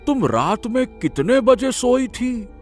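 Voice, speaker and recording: Village elder for animated folk tale, male actor: grave, dramatic, slow, widely swinging intonation. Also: very high-pitched, faint music behind, exaggerated voice. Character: grave village elders, worried father and solemn healer. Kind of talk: dramatic cartoon story dialogue.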